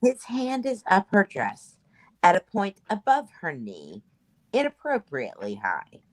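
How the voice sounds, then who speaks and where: A woman's voice: medium pitch, huge pitch swings, unhurried, dry recording, low-quality recording. A woman reading stage directions as scripted narration at a play table read.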